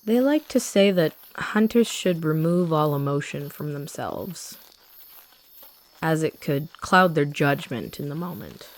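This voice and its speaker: Casting: actress as guilt-ridden young huntress, low voice, soft confiding delivery, gentle rebel, audio roleplay. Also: female voice, animated, unhurried. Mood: calm, teasing. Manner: soft, confiding, addressing the listener directly. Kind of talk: intimate roleplay audio drama acting.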